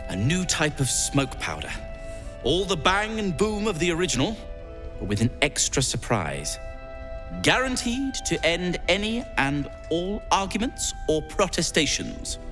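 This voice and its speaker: Fussy, swooping eccentric alchemist. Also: male voice, a little high, sing-song, unhurried, music in the background. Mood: eager, proud. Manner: dithering, repeats himself, florid old-fashioned wording. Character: fussy, excitable eccentric male alchemist inventor, dithering. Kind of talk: video game character acting.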